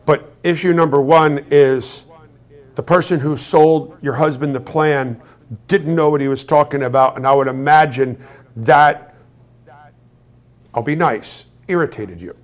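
A man hosting a casual finance show, asking his guest questions. His voice sits fairly high for a man, and he often restarts his sentences and jokes as he goes. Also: unhurried. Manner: inquisitive, jokey, restarts often. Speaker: host. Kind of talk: conversational interview.

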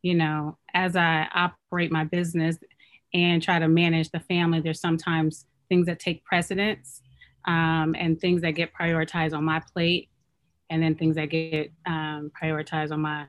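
A woman in her thirties from Oakland, a clothing business owner, talking casually and softly in an interview. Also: low-pitched, little pitch movement, moderate pace. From Oakland, California.

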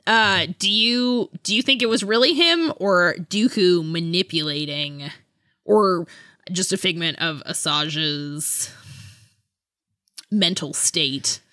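A woman's voice: fairly low pitch, slow, pushed and bright, no echo, studio quality. A woman co-hosting a podcast, talking casually about books and thinking aloud in a tentative way. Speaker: podcast co-host. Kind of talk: casual book discussion.

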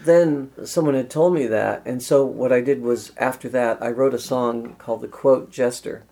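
An older man, a guitarist, reminiscing in a relaxed interview, rambling and full of "you know". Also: mid-range voice, moderate pace, vocal fry.

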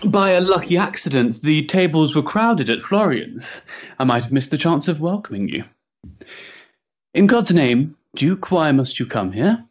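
An actor playing an earnest, overwrought young gentleman in a period stage drama, speaking in an impassioned, declamatory way. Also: a little high, very animated, a little slow, lo-fi sound. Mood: agitated.

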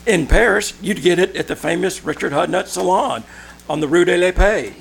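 A man reading a 1940s-style radio commercial as announcer, with deliberate, emphatic salesmanship over faint hum. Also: sits high, vocal fry, breathy edge.

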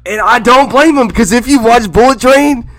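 A man's voice: extremely high pitch, normal speed, fairly bright tone. A man chatting casually as a podcast guest, slangy and repeating phrases for emphasis. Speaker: podcast guest, content creator. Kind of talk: casual conversational chat.

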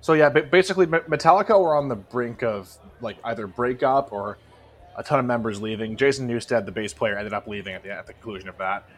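A man talking as a podcast co-host with a medium-pitched voice. He gives casual, opinionated commentary on music and swears freely for emphasis. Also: fast talker.

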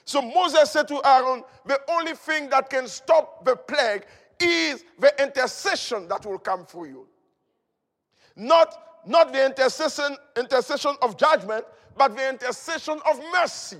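A man's voice: very high-pitched, measured pace, a bit bright. A man preaching a fervent charismatic sermon, emphatic and declamatory, repeating key phrases as he goes. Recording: long hall reverb.

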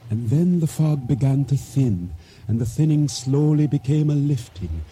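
An actor playing an uneasy, trusting boatman who tells his story in measured, formal radio drama narration. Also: medium pitch, lively intonation, mellow, husky, poor audio. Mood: solemn, suspenseful. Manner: measured, formal storytelling with simple dignified phrasing. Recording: radio drama with music underneath.

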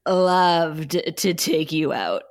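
A woman reading the part of a gentle, wistful middle-aged mother in a script table read. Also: low-pitched, animated, extremely slow, voice pushed down, exaggerated voice. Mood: wistful, reassuring.

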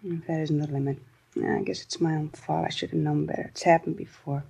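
An actress playing a disgruntled young secretary in a 1950s radio crime drama, talking in casual, complaining, clipped phrases. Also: female voice, low voice, level tone, fairly quick, gravelly, mellow, husky, heavily acted. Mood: resigned, miffed. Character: disgruntled young secretary, talkative, put-upon, street-wise.

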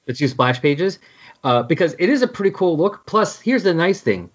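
Husky-voiced man in casual podcast chat. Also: a little high, big pitch swings, moderate pace, highs cut off. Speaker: podcast co-host. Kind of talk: casual chat about comics.

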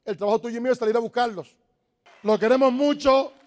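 A man's voice: very high-pitched, quick pace, a little mellow. A man from the Republic, giving an emphatic motivational business talk to a live audience, repeating his key points. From Dominican Republic. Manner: emphatic, repetitive, preaching-like exhortation. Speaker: network marketing motivational speaker.

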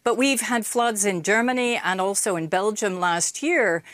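A woman's voice: medium pitch, normal speed, fairly bright tone, dry recording. A woman speaking as an expert guest in a news interview, articulate, emphatic and formal. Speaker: interviewed expert, organization co-president.

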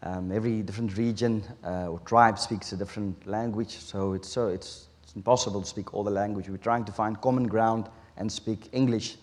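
A South African man preaches with a clear Afrikaans accent, his voice sitting fairly low. He talks in an earnest, halting way, restarting phrases as he goes. The long reverb of a church hall trails after his words. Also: steady tone, a little mellow. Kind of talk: sermon.

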